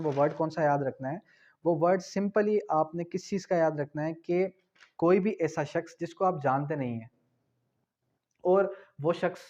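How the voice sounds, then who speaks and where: A man from Pakistan giving an exam-prep law lecture, asking questions and then answering them, spelling out key terms slowly. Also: on the high side, darkish tone, highs cut off. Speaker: law tutor.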